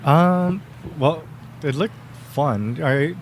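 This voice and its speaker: A man's voice: a little high, drawn-out words, a little hiss or hum. A young man chatting casually as a podcast guest, rambling with many fillers and easy laughs. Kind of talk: casual interview chat.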